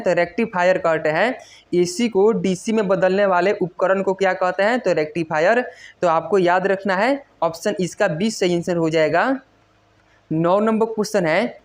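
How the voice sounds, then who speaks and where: Exam tutor drilling quiz answers. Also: medium pitch. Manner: repetitive, instructional, drilling. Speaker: exam-prep teacher. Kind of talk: quiz lesson, reading questions and giving answers.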